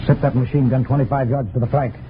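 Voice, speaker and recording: An actor playing a commanding, rational spaceship captain in old-time radio science fiction, speaking in clipped military phrases. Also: male voice, medium pitch, steady tone, fast, natural voice. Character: commanding male spaceship captain, stern, rational, increasingly bewildered. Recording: muffled, noisy old radio recording.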